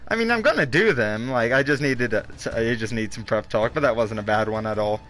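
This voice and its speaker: Man, game streamer, casual chatter, medium pitch, self-deprecating, reluctant, game music behind. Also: fairly quick, a bit bright. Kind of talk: casual live-stream chat while gaming. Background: fighting game music.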